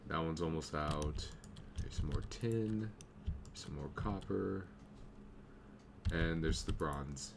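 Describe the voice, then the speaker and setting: Very deep, slow man with a cold. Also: gravelly, background noise. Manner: easygoing, thinking aloud while playing. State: slight cold, clearing throat. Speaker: gameplay commentator. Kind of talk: casual let's play commentary.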